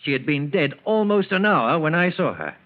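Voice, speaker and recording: A man playing a stern, shrewd family doctor in a 1940s radio drama, speaking deliberately and probingly. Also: a little high, very animated. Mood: stern, accusing. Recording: muffled 1940s radio broadcast recording.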